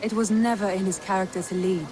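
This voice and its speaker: A woman playing a composed, loving Norse stateswoman in a video game, with formal, deliberate and sincere delivery. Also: mid-range voice, steady tone, crowd noise, natural voice, low-quality recording. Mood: earnest, assured. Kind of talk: video game dramatic dialogue. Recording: outdoor ambient noise behind voice.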